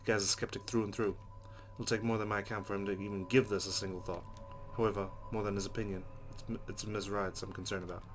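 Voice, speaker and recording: A man's voice: lowish voice, fast, hardly any pauses, music in the background. A man reading visual novel narration aloud and adding casual reactions of his own. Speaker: let's play host reading game text aloud. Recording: steady loud background noise.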